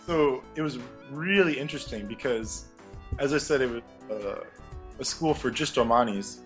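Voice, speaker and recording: A man's voice: mid-range voice, normal speed, soft background music. Reflective young man with frequent fillers. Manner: reflective, many fillers and restarts, laughs lightly. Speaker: interview guest, former music teacher. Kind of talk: casual conversational interview.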